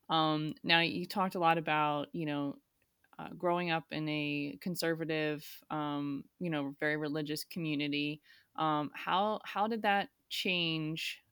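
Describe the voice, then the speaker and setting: A woman's voice: low-pitched, a bit bright, dry recording, studio quality. A woman interviewing a guest, asking rambling, hesitant questions full of fillers.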